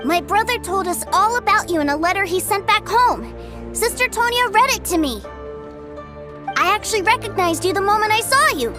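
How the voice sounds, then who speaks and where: A woman's voice: extremely high pitch, lively intonation, moderate pace. A woman voicing a cheerful, innocent little boy with an earnest, childlike manner in a video game. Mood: innocent, matter-of-fact. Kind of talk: English game dub acting. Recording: background music under the voice.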